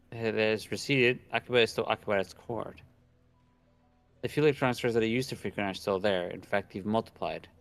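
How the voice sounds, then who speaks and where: A man's voice: medium pitch, clear, resonant tone. A young man casually reading visual novel narration aloud and chatting, stumbling over words now and then. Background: soft background game music.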